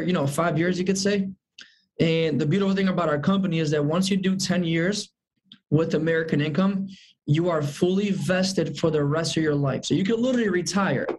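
A man's voice: high-pitched, low-quality recording. A man of thirty, a sales manager as guest, talking about his goals in an upbeat, emphatic way and repeating phrases for emphasis. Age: 30s. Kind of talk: casual interview chat.

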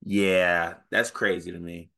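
A man's voice: deep voice, flat delivery, slow, creaky, sharp tone. A man co-hosting a casual sports podcast, stuffed up with the sniffles, sounding nasal. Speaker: podcast co-host.

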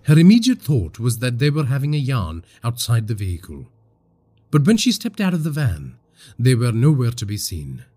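A man from India narrating a scripted horror story in a husky voice, with dramatic, suspenseful delivery. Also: mid-range voice, wildly swooping pitch, normal speed, dark tone.

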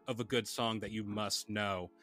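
Man, podcast host, casual rambling chat, bright tone, soft music bed behind. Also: on the low side, fairly even intonation, normal speed. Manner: laid-back, rambling, reads sponsor plugs.

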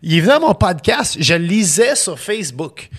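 A man whose first language is English, chatting casually in a podcast and telling animated stories. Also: normal speed, breathy edge. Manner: animated storytelling with laughs and lively pitch jumps. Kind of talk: casual chat.

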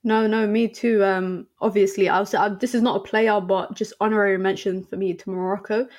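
A young woman talks through football picks in a casual podcast chat, her pitch staying fairly level and even. She pauses often with ums and restarts her sentences. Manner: chatty, many ums and restarts. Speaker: podcast guest.

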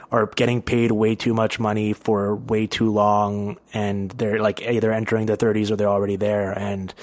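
A man's voice: fairly low pitch, no echo. A man hosting a podcast, talking through sports analysis in a casual, conversational way. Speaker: podcast host.

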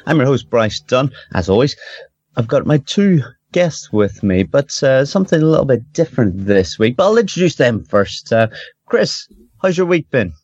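A man's voice: medium pitch, big pitch swings, normal speed, darkish tone, husky, no echo. A man hosting a football podcast in casual chat, hesitant with many ums and restarts. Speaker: podcast host. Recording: dull lo-fi podcast audio.

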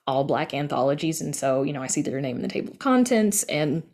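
A woman's voice: low-pitched, animated, brisk, a little mellow. A woman giving casual, candid and emphatic answers as an interview guest on a podcast.

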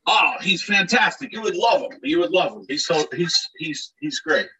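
A man's voice: on the high side, animated, normal speed, a crowd in the background, poor audio. A man of fifty-nine, chatting casually as an interview guest in a rambling, enthusiastic way that often repeats words. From Scranton, Pennsylvania, United States. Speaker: podcast guest, DJ.

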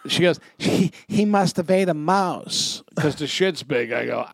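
A man's voice: on the high side, measured pace, creaky, dry recording, clean studio sound. A man co-hosting a podcast, telling comic stories casually in a profane, emphatic way. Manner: profane, emphatic, animated storyteller. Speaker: podcast co-host. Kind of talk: casual comic storytelling and banter.

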